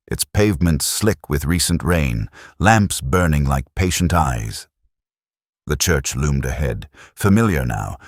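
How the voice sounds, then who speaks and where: A man playing a brooding, lonely police inspector who narrates a mystery drama in a measured, literary, reflective way. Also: extremely low pitch, no echo, clean studio sound, full character voice. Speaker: narrator of a detective story. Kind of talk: scripted first-person mystery narration.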